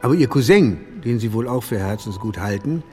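A man's voice: mid-range voice, lively intonation, a little fast, a little mellow, big-room sound, soft background music, close to an everyday voice. A man playing a brusque, probing police detective chief in a German radio crime drama, with dry irony.

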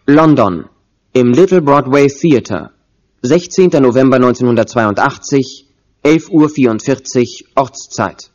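A man acts as the narrator of a radio play, announcing scenes in scripted style. The pitch is medium. The recording is somewhat dull. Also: lively intonation, unhurried, a little mellow, lightly acted. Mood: factual. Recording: dull, muffled sound.

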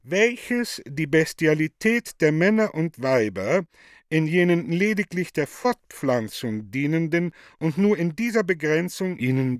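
A man's voice: a little high, no room sound, clean studio sound. A man playing an affected, haughty, coaxing woman in a theatrical radio play, mannered and gushing. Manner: mannered and gushing, with French interjections.

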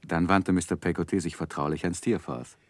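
An actor playing a reflective grown man who narrates his life in a radio play, measured, literary and carefully spoken. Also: deep voice, fairly even intonation, no room sound, natural voice. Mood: calm, narrating.

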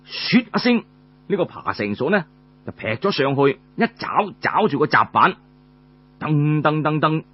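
A male storyteller with a Cantonese accent narrates in an old oral tradition. His voice is fairly high and husky, with very animated pitch swings. The recording is dull and lo-fi. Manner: colloquial, frequent drawn-out filler particles between phrases.

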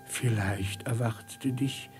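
An actor in a German radio play playing a poor, knowing sage who speaks in measured, cryptic riddles. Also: male voice, medium pitch, fairly even intonation, music in the background, close to an everyday voice. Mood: cryptic, musing.

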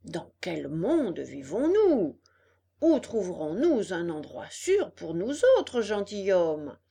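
A sly storybook fox, played by a woman, drawling slowly with theatrical, gentlemanly airs. His sympathy is showy and put on, and the pitch swings widely. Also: big pitch swings, gravelly, husky, exaggerated voice. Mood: mock indignation. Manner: theatrical, drawn-out indignation.

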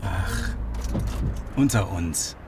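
An actor playing a smug, pompous male crank mentor who speaks in a calm, preachy, condescending way, heard inside a car. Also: sits low, drawn-out words, background noise, full character voice.

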